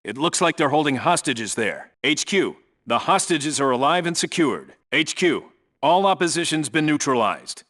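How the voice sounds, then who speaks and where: A man's voice: fairly high pitch, animated, moderate pace, fairly bright tone. A man playing a no-nonsense special forces team leader in a video game, reporting in a clipped, businesslike, commanding way. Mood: focused, businesslike. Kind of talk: scripted radio call-outs, video game military acting.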